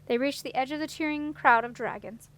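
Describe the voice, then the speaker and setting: A woman's voice: sits high, a little fast, a bit bright. A woman reading a storybook aloud as scripted audiobook narration. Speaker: audiobook reader.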